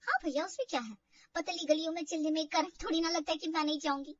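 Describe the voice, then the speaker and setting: Woman voicing an excitable, very high-pitched young sister-in-law. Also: fast talker, distant mic, highs cut off. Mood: eager, admiring. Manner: gushing, exclamatory.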